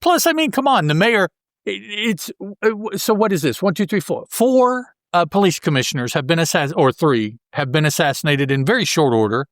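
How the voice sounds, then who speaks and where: A man with a slightly higher-pitched voice hosts a casual podcast recap. He talks through a comic book story colloquially, tossing in joking asides. The sound is close and fairly dry. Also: animated, normal speed, no echo. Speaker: podcast host.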